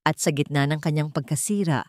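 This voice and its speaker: A woman tells a scripted drama as its narrator. Her voice sits low for a woman. The recording is dry, and the delivery is that of dramatic storytelling. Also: a little fast, dry recording.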